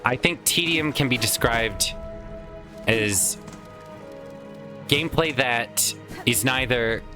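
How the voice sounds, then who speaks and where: A man's voice: medium pitch, takes it slowly, music in the background. A man casually commenting while playing a video game, chatty and rambling with some swearing, his voice tired from a lot of recent use. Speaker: let's play host.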